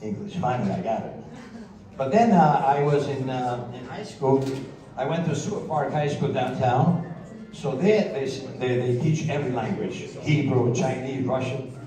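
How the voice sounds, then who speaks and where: A man's voice: medium pitch, normal speed. A middle-aged man from Sicily, casually telling his life story in a rambling, boastful way and chuckling often. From Sicily, Italy. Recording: roomy, distant mic, crowd around.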